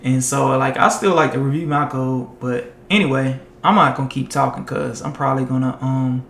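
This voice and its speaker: A man streaming tech content live, talking loosely and chatting with viewers, his voice tiring and going hoarse after hours of talk. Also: medium pitch, fairly even intonation, moderate pace, fairly bright tone, echoey room. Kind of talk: casual live-stream chat.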